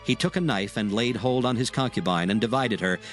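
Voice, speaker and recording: A man's voice: medium pitch, brisk, soft background music. A man narrating dramatized scripture in a solemn storytelling manner, reading archaic English from a script.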